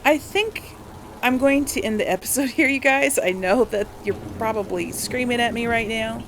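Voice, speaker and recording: A woman's voice: fairly high pitch, moderate pace, slight background noise. A woman hosting a casual let's play, chatting to viewers and talking to herself as she plays, with exclamations. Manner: talks to self while playing, exclaiming. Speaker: gaming video host.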